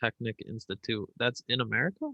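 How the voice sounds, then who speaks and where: A man's voice: mid-range voice, big pitch swings, quick pace, creaky, sharp tone, dull audio. Easygoing man hosting an interview. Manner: easygoing, impressed reactions, casual slang. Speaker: host. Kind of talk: casual interview.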